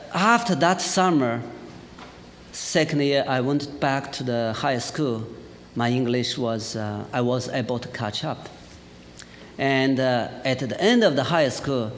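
Chinese-accented man preaching in a hall. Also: mid-range voice. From China. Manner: lively storytelling, laughs often, frequent fillers. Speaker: pastor. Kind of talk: sermon with personal testimony. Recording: church hall reverb, faint hiss.

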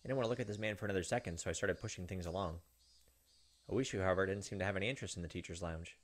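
A man, perhaps in his thirties, reading visual novel narration aloud and commenting, with dramatic, halting delivery. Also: low voice. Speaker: gaming video host. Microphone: desk microphone close up. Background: game music.

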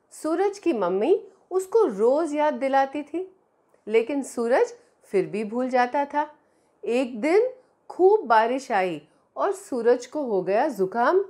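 A woman's voice: high voice, darkish tone. A middle-aged woman with an Indian accent telling stories to young children, speaking expressively and directly to them on a lapel mic. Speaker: children's storyteller. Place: small indoor room. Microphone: clip-on lapel mic.

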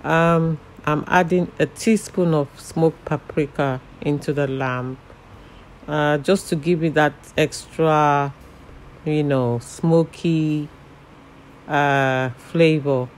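A man casually talking through a home cooking recipe step by step, relaxed and chatty with frequent fillers. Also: on the high side, slow, slight background noise, low-quality recording. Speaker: cooking channel host.

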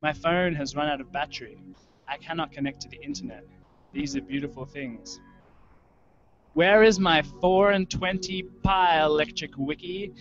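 A man's voice: a little high, fairly even intonation, moderate pace. Man reading literary fiction over music. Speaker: writer reading his own fiction. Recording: dull lo-fi web stream audio.

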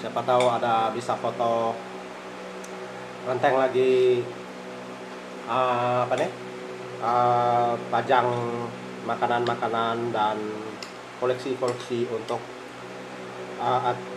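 Middle-aged man, food vlogger, even monotone, unhurried, recorded on a tabletop phone with dish clatter. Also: mid-range voice. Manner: slow, murmuring, eats between phrases, frequent "eh" fillers. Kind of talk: casual eating vlog. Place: small rented room indoors. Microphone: phone camera on the table. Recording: phone recording with room noise and cutlery clatter. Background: clinking cutlery and dishes.

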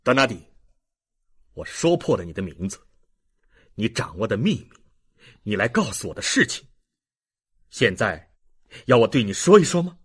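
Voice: mid-range voice, sing-song, husky, voice pushed down. An audiobook narrator voicing a stern, contemptuous young baron with a commanding manner. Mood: stern, assured. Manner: commanding, deliberate.

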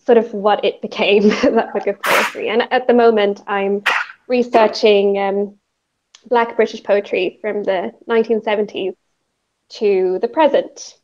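A woman in her thirties from Sweden speaks thoughtfully on a panel, trailing through fillers and soft hedges. Her voice sits at an everyday middle pitch. The audio comes through a slightly dull video call line from her earphone mic. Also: fairly even intonation, unhurried. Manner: reflective, many fillers and hedges. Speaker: panelist, poet and author. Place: plain indoor room. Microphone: wired earphone mic on video call.

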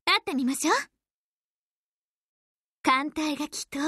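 A woman playing a proud, battle-hungry young warship woman in an anime game, with a confident, theatrical, forceful delivery. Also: high voice, measured pace, dry recording, clean studio sound. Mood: composed. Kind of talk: anime game character voice lines.

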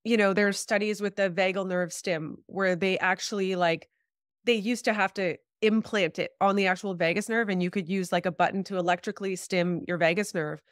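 A woman's voice: medium pitch, fairly even intonation, normal speed, dry recording, clean studio sound. A woman in her thirties with a slightly congested voice, explaining health topics informally as an interview guest on a video call. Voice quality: slightly congested, stuffed-up nose. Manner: informal, frequent "like" and "you know". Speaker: guest health practitioner, chiropractor and acupuncturist. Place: small room at home, video call.

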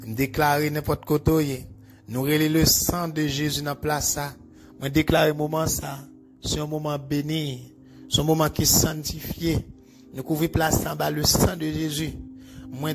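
A Haitian man, a native Creole speaker, praying as a preacher in declarative, repetitive, incantatory phrases. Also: fairly high pitch. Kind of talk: bedtime deliverance prayer, declarative. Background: music.

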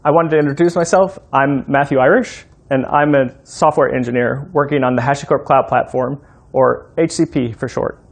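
Creaky-voiced man giving a software demo. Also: mid-range voice, steady tone, normal speed. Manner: instructional, step by step. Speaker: software engineer, demo presenter. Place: room in a home.

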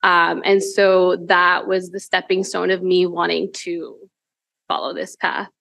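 A woman attorney introducing herself on a panel, quick and self-correcting, at a table microphone in a hearing room. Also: fairly low pitch, steady tone, vocal fry, fairly bright tone, dry recording. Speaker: staff attorney. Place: large law school hearing room.

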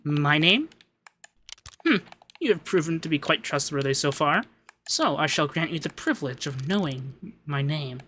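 A man voicing a haughty young femboy demon lord, pompous but easily flustered and secretly soft, in an ASMR roleplay. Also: on the high side, lively intonation, moderate pace, slight background noise. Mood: imperious. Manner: pompous commands that slip into flustered cooing.

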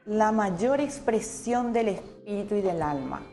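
A middle-aged woman from Paraguay whose first language is Italian, talking as a guest on a TV studio set. She is chatty and full of fillers and restarts. Her voice sits at an ordinary mid pitch, with faint music behind her. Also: animated, measured pace, warm tone. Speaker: interview guest, painter. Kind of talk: casual interview chat.

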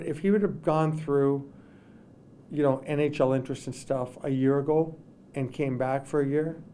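A middle-aged man, a hockey coach, answering questions at a postgame press conference, talking in halting bits with many restarts. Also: medium pitch, mellow, a little hiss or hum. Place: press room with sponsor backdrop. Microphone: table microphones in front.

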